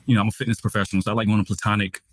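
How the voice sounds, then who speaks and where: A man's voice: lowish voice, little pitch movement, rapid-fire, dry recording. A man in his twenties hosting a podcast, talking openly and reflectively about his own life. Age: 20s. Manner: open, reflective, candid. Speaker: podcast host. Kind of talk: podcast conversation, personal sharing.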